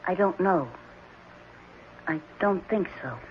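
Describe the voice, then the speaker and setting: An actress playing a guarded, defensive ten-year-old orphan boy in a 1970s radio drama, halting and childlike. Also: lowish voice, animated. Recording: muffled, noisy old radio broadcast.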